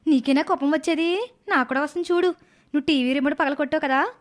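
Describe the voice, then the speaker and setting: A woman voicing a silly, stubborn young niece, girlish and quarrelsome, in an animated moral story. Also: high-pitched, fast, close to an everyday voice. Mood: petulant, angry.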